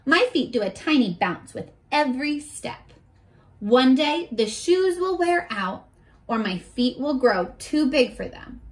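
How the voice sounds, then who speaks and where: A young woman with a high voice reads aloud like a primary teacher. Her pitch swings expressively and she takes her time, speaking directly to small children as she goes through a picture book. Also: expressive, a little slow. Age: 20s. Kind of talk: children's read-aloud with simple questions to listeners. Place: small furnished bedroom, indoors.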